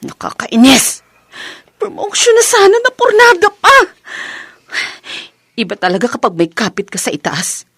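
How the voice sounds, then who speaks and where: A woman's voice: high-pitched, wildly swooping pitch, unhurried, fairly bright tone, no echo, full character voice. A woman acting a resentful, scheming office employee in a drama, speaking with a Filipino accent. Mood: seething, vengeful. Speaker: actor in a short drama. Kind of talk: acted office drama dialogue in Tagalog and English.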